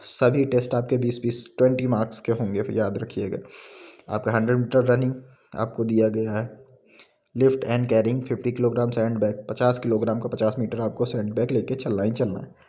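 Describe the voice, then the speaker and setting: A man with an Indian accent giving an informal explainer, reading out an official notice haltingly and commenting on it as he switches between Hindi and English. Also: medium pitch, flat delivery, dark tone. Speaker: exam information presenter.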